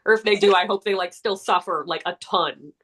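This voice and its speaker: A woman's voice: fairly quick, a bit bright, a little hiss or hum. Chatty, giggly woman guest. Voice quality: fairly deep voice for a woman. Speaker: actress guest. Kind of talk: casual interview.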